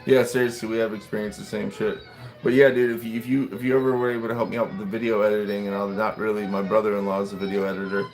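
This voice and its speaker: A man in his late thirties talks loosely and rambles through a casual livestream, answering viewers as he goes. His voice sits low and carries a gravelly rasp. Soft classical music plays quietly behind him in the restaurant where he waits. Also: little pitch movement, fast. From United States. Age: late 30s. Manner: rambling, laid-back, chatting to viewers and reacting to comments. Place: restaurant, waiting for food.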